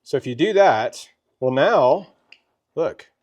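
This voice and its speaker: A man with a medium-pitched voice presents a product demonstration. He talks in a casual, folksy way, chatting through each step conversationally. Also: expressive, slow, darkish tone. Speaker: presenter of a gear review.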